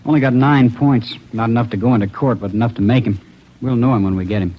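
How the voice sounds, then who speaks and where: A man's voice: mid-range voice, fast, natural voice. An actor playing an eager, chatty police fingerprint man, talking in short clipped phrases in a 1940s radio drama. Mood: eager, curious. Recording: muffled old radio recording, faint noise.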